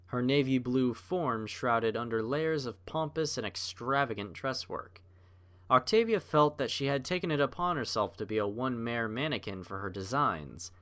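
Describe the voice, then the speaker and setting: A man reading scripted fiction as a narrator, in a measured, literary storytelling manner. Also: mid-range voice, a bit bright.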